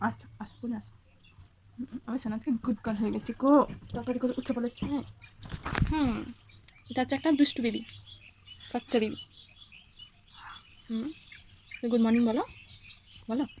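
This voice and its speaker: A young woman vlogger with a fairly high voice and a coaxing, sing-song manner. She talks casually at home, half to her viewers and half to a small child. A faint hiss sits under her voice. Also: steady tone, normal speed, long pauses, a little mellow.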